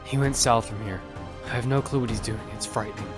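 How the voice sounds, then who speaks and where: A man's voice: medium pitch, fairly even intonation, fast, over loud music. A man narrating a first-person action drama as its protagonist, in an earnest storytelling manner.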